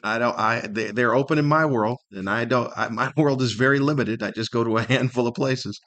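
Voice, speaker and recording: A man's voice: medium pitch, fairly quick, a bit bright. A middle-aged or older man hosting a solo podcast, rambling casually with wry humor and plenty of rhetorical questions. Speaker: podcast host.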